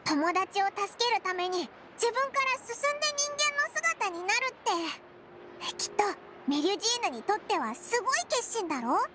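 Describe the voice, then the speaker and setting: A woman voicing a small, childlike fairy companion in an anime game, curious and blunt with boyish, expressive speech. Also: very high-pitched, normal speed, slight background noise, exaggerated voice. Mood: curious, thoughtful. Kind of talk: Japanese anime game dubbing. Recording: music behind the voice.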